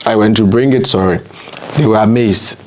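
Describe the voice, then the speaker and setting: A man from Ghana, a guest in an interview, telling his story in an earnest, halting way and dropping in German terms. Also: lowish voice, slight background noise.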